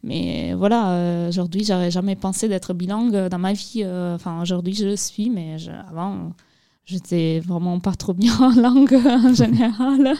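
Mellow-voiced hesitant woman on radio. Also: fairly low pitch, expressive, normal speed, no room sound, clean studio sound. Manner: hesitant, many fillers and restarts. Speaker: radio guest, youth program presenter. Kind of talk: casual radio interview. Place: radio studio.

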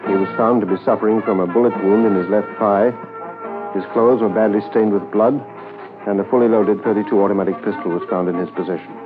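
An actor narrating a 1950s radio drama as a sober, reflective Mountie, measured and formal. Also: male voice, fairly low pitch, fairly even intonation, phone-quality sound, over music, lightly acted. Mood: grave, factual.

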